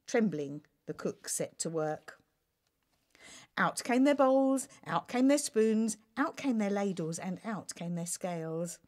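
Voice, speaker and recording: A woman reading a children's bedtime story aloud in an expressive, theatrical manner. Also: medium pitch. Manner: animated, theatrical delivery.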